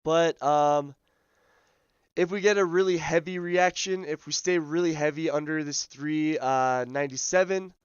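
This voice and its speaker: A man hosting a live day-trading stream, his voice scratchy as he loses it, talking through charts in a relaxed way full of ums and uhs. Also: a little high, unhurried, smooth, dry recording, muffled recording. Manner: relaxed, filler-heavy, thinking aloud. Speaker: day trading livestream host. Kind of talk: live market commentary.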